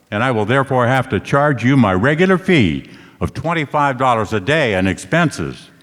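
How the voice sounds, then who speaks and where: An American actor playing a wry, teasing private detective in a 1940s-style radio drama. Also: male voice, mid-range voice, lightly acted. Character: Sam Spade, wry hard-boiled male private detective. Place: live radio play on a stage. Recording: echoey stage hall, music behind.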